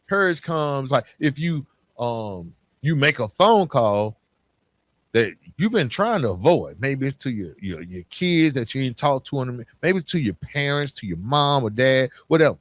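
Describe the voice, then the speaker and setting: A man's voice: mid-range voice, big pitch swings, moderate pace, dry recording. A man hosting a motivational podcast, speaking earnestly and emphatically in colloquial language straight to the listener. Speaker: podcast host.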